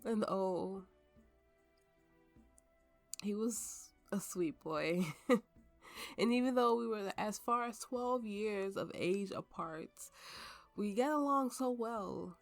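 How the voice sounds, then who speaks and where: A woman playing a tipsy, haunted woman with magical powers, telling her story slowly and haltingly in an intimate ASMR roleplay over music. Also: mid-range voice, no echo. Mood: fond. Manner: halting, drunken rambling with frequent laughs. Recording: voice over soft background music.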